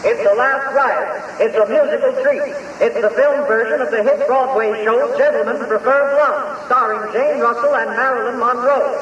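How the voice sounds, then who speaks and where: Very high-pitched male announcer in echoing hall. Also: moderate pace, fairly bright tone. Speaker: film trailer announcer. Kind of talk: booming advertising announcement. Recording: distant, echoing, muffled, faintly noisy hall.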